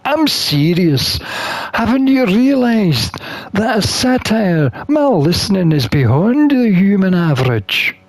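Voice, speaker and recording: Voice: mid-range voice, very animated, takes it slowly, no echo, exaggerated voice. A person voicing a flustered, protective satyr from a visual novel in a hesitant, stammering way. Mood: defensive. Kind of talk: acted visual novel dialogue reading.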